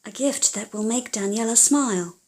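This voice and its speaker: A woman's voice: a little high, moderate pace, a little mellow, natural voice. Woman voicing a patient, secretive young glassmaker. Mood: coaxing.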